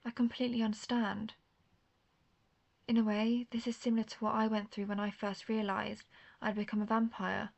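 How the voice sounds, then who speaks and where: A woman's voice: mid-range voice, level tone, moderate pace, vocal fry, darkish tone. A woman playing an intense, guarded vampire hunter, herself a vampire, in a scripted roleplay audio drama, speaking earnestly and formally straight to the listener. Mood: concerned, pressing. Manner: earnest, formal phrasing, addressing the listener directly.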